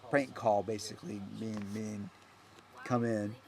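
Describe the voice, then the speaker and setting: A man giving a casual, unscripted director's commentary as a filmmaker, rambling and halting as he goes, often trailing off. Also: fairly low pitch, fairly even intonation, a little mellow. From United States.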